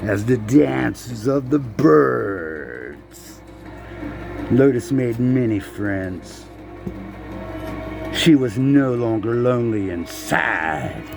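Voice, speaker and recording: A man reading a children's picture book aloud in a halting way, repeating words and slipping in playful asides to the listener. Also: mid-range voice, lively intonation, vocal fry, music in the background. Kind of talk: storybook read-aloud with casual asides.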